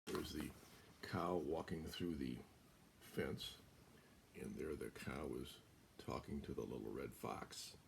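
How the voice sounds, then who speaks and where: An older American man reading a children's picture book aloud, deliberate and expressive, with pauses. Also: very deep voice, gravelly, noisy background. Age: older, about 70s. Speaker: guest storyteller. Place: small room at home, indoors.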